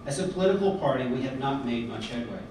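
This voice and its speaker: A middle-aged American man giving an earnest prepared speech at a podium, often pausing on fillers and restarts. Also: mid-range voice, animated, darkish tone. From United States. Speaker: convention speaker. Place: large hotel conference hall, indoors. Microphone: podium mic, filmed by a far camera. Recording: echoey hall from far off, background noise.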